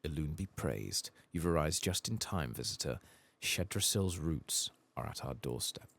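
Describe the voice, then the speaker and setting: A very deep, creaky temple guardian, acted out by a man, speaks softly and gravely in fantasy game dialogue. The delivery is formal and measured, full of devout solemnity. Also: expressive, exaggerated voice. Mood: reverent, grave. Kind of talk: soft-spoken reading of video game dialogue.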